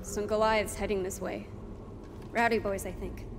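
A woman's voice: mid-range voice, flat delivery, normal speed, music in the background, natural voice. A woman voicing a watchful gang fighter in a dramatic video game cutscene set in a grim underworld. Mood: wary, hushed. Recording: long reverb, noisy ambience.

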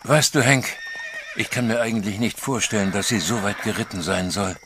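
A man's voice: fairly low pitch, normal speed, noisy background, natural voice. A man playing a worried, stubborn father. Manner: tense, insistent. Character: worried, stubborn male father searching for his daughter. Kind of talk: radio play acting.

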